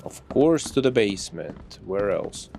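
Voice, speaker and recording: A man's voice: on the low side, sing-song, unhurried, a little mellow, slight background noise. A young man from Belarus with a Russian accent, casually commenting on a game as he plays and musing aloud about what he finds. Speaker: streamer.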